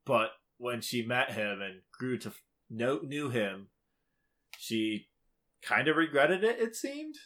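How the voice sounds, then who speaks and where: A man with a very bright, forward voice at an ordinary pitch, co-hosting a casual gaming chat. He talks informally and states his opinions freely. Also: sing-song, takes it slowly. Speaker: podcast co-host. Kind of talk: casual chat about a video game.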